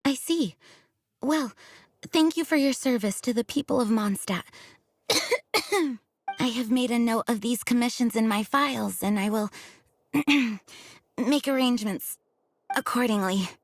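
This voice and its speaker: A woman's voice: a little high, a little slow, pauses often. A woman voicing an exhausted, dutiful knight leader who speaks formally and courteously, for a game. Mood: weary. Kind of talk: game voice acting.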